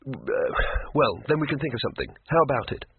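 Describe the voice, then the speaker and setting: A man's voice: medium pitch, big pitch swings, fairly quick, husky. A man voicing a nervous, snappish, cowardly wizard. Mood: anxious. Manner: anxious, pleading, snappy. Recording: tinny phone-like audio with faint hiss.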